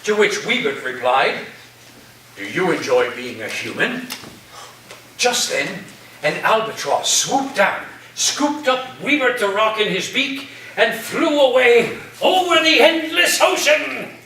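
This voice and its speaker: Man playing a high-pitched, grandiose eccentric professor. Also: expressive, sharp tone, exaggerated voice. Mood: dreamy, solemn. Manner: slow, grandiose, dramatic delivery. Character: eccentric, grandiose male college professor, dreamy storyteller. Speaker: actor in a staged play reading. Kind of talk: theatrical staged reading. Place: echoey room at a live staged reading. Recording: roomy, distant mic, faint noise.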